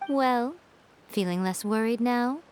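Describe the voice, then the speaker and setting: A woman's voice: fairly high pitch, slow. A woman playing a sly, self-assured female fox spirit in an anime game, with a teasing, composed and knowing delivery. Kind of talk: anime game dub acting. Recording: faint music behind the voice.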